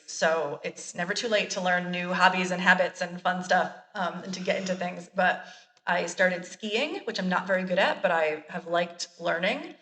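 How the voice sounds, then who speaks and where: A chatty, self-deprecating woman in her thirties talks casually in a slightly low voice. She laughs easily. She sits back from the mic in an echoey room. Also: fairly bright tone, recorded from a distance. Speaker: college librarian, guest. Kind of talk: casual interview chat.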